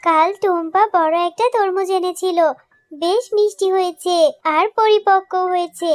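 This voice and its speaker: A woman's voice: extremely high pitch, steady tone, normal speed. A woman voicing a caring, fussing mother fox, a village housewife in a children's cartoon. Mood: worried.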